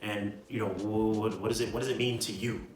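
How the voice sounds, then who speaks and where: Young dude, kinda low voice, chatty slangy pep talk, roomy echo, bit far from the mic. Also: male voice, fairly even intonation, brisk. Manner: informal and slangy, often tags lines with "right?". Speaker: video host giving self-improvement advice. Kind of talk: casual motivational talk to camera. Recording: roomy echo, distant mic, music behind.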